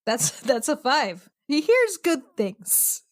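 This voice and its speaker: A woman's voice: high-pitched, sing-song, takes it slowly, dry recording, studio quality. A woman chatting casually as a tabletop game player, describing what her character does.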